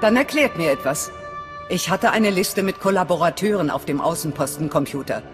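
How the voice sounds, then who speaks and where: Frau spielt strenge Widerstandsführerin mit langem Hall. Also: female voice, lowish voice, expressive, moderate pace, close to an everyday voice. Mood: suspicious. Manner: commanding, matter-of-fact. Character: stern, guarded female resistance cell leader. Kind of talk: dramatic video game dialogue in German. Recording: long hall reverb. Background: background music.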